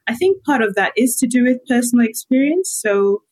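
A woman from Malawi, a guest health psychologist who thinks aloud with pauses as she answers about herself. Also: fairly high pitch, lively intonation, a little mellow. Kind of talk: reflective personal interview answers.

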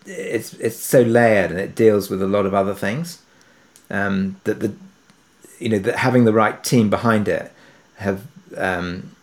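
A man speaking conversationally as an interviewed producer, halting and self-effacing, often rephrasing as he goes. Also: fairly low pitch. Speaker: audio drama writer and producer being interviewed.

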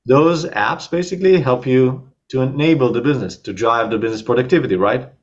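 A man's voice: mid-range voice, brisk, lo-fi sound. A man with an Israeli accent, a startup co-founder, talking casually in an interview over a video call, with frequent fillers.

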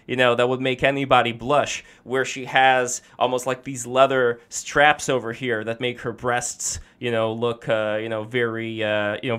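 A man's voice: medium pitch, a bit bright, smooth. A man hosting a casual panel discussion, talking with many hesitant fillers. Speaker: host.

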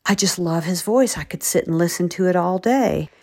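A woman speaking as an author and podcast interviewer in a chatty, expressive way. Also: on the low side, moderate pace.

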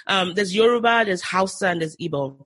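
A woman's voice: mid-range voice, brisk, a bit bright, husky, dry recording. A Nigerian woman with a Nigerian accent, a podcast guest talking eagerly in casual chat, often restarting her sentences. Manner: enthusiastic, with frequent restarts.

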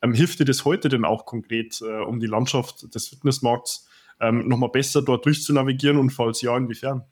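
A man's voice: mid-range voice, steady tone, moderate pace, a little mellow, breathy edge. A man hosting a podcast interview, speaking in long, wordy questions with fillers. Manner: wordy, many repeated "auch" and fillers. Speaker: podcast host, personal trainer.

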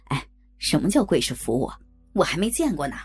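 A woman voicing coarse, leering working-class men with a gruff, colloquial, swearing manner. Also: deep voice, sing-song, a little fast, darkish tone, faint music behind, pitched below the performer's own voice, heavily acted. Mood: crude, leering.

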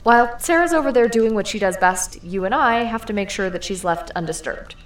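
A woman's voice: mid-range voice, expressive, a little fast, natural voice. A woman playing a cocky, encouraging burglar in an audio drama, with a casual, playful, self-assured delivery. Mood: reassuring. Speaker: voice actor in a fiction podcast. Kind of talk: naturalistic audio drama acting. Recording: roomy echo, music underneath.

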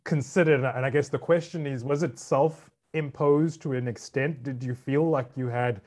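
A man hosting an interview, first reading a guest introduction and then asking questions in a measured way. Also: mid-range voice, steady tone, darkish tone. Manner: measured, reads a prepared introduction. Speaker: interviewer, host.

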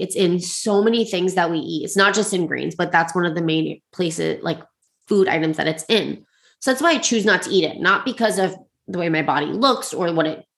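Congested, lower-pitched woman chatting casually. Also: creaky. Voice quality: slightly congested, clogged-up nose. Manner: informal, reflective, frequent "like". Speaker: host sharing personal advice and resources. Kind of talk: casual, confessional solo talk.

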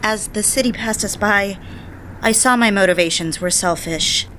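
A woman's voice: medium pitch, moderate pace, a bit bright, slight background noise, lightly acted, rough recording. An actress playing a genteel, eager young society woman in an audio drama, speaking and narrating with proper, polite diction. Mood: wistful, reflective. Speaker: lead character and narrator. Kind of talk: audio drama acting with first-person narration.

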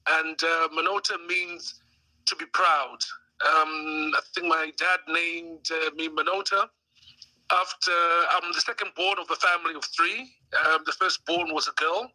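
Bright-voiced man answering with many uhs. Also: on the high side, fairly even intonation, measured pace, low-quality recording. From Malawi. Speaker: guest. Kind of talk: casual interview answer.

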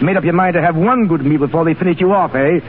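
An actor playing a gruff, easily amused Foreign Legion commandant in 1930s radio style, barking out clipped questions. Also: male voice, fairly high pitch, quick pace, narrow, tinny audio, lightly acted. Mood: amused, approving. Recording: thin, noisy 1930s radio recording.

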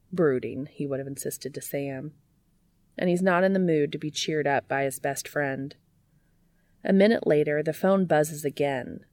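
A woman reading a story aloud as scripted narration, in an expressive audiobook style. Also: deep voice, dry recording. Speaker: audiobook reader of fan fiction.

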